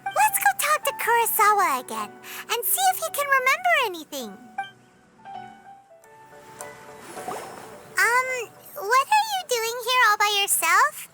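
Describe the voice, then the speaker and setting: Squeaky, chirpy little childlike companion. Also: female voice, moderate pace, full character voice. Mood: curious, eager. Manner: chirpy, expressive. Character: tiny childlike floating companion, chirpy, talks in third person. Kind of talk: video game voice acting. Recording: loud music behind the voice.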